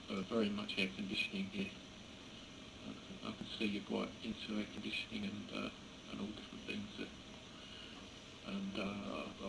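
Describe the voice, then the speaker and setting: A British man chatting casually on ham radio in a hesitant, halting way. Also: on the low side, vocal fry. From England. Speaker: amateur radio operator. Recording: noisy amateur radio contact recording.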